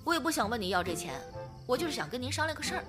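A woman playing a bold, protective young woman with an expressive, theatrical delivery in a radio drama. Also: a little high, rapid-fire, over music. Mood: sly, polite. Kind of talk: modern Chinese radio drama.

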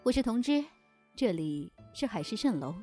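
A woman's voice: medium pitch, big pitch swings, normal speed, dark tone, close to an everyday voice. A woman playing a poised, teasing keeper of a magical inn in an audio drama, self-assured and probing. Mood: inviting, mysterious. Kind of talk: Chinese audio drama acting. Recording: faint music behind the voice.

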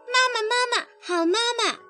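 A woman plays a swarm of tiny baby tadpoles in a storybook. The voice is very high and bright, eager and excitable, calling out in sing-song phrases over faint music. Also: slow, heavily acted. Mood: affectionate, delighted.

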